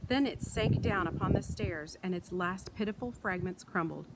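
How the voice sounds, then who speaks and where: A woman reading a children's book aloud in an expressive storytelling style, recorded outdoors. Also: lowish voice, normal speed. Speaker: read-aloud reader. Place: outdoors in wind. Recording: light outdoor wind and ambient noise.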